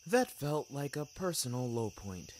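A man's voice: medium pitch, very animated, a little slow, a little mellow, a little hiss or hum. A man narrating a scripted first-person story in a wry, theatrical, ornate manner. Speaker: narrator of a fiction podcast. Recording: music bed behind narration. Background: music.

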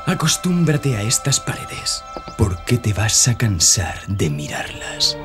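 An actor playing a domineering, jealous arms-dealer husband in an audio drama. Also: male voice, fairly low pitch, sing-song, slow pace, over music. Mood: furious. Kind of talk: Spanish audio fiction drama.

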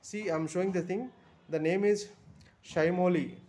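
Halting Indian English man, phone vlog. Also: sits high, steady tone, darkish tone. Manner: halting, many fillers and restarts. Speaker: vlogger reviewing a bus trip. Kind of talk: casual product review vlog. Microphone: phone camera held over items.

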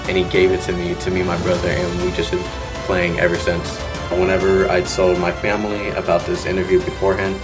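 A man's voice: low-pitched, monotone, fairly quick. A young man, talking plainly and directly about his gaming past. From Texas. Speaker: interviewee gamer. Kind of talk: casual recollection. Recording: music bed underneath.

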